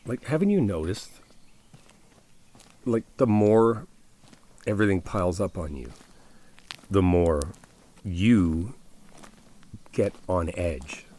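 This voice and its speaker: A man acting out a caring best friend in an ASMR audio roleplay, with a low-pitched male voice. He speaks casually and directly to the listener, coaxing and reassuring, gently insistent. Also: expressive, takes it slowly, pauses often, full character voice. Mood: earnest, reassuring.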